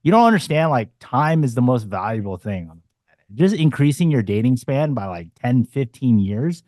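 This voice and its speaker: Guy in his 40s coaching dating, swears a lot, mellow voice, dry sound. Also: mid-range voice, big pitch swings, moderate pace, dry recording. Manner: blunt, swearing, punchy asides. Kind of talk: casual panel discussion.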